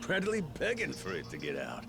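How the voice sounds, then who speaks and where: An actor playing a cocky, wild middle-aged pirate captain in a video game cutscene, slangy and taunting. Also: male voice, deep voice, huge pitch swings, fast talker, gravelly, over music, exaggerated voice. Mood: teasing.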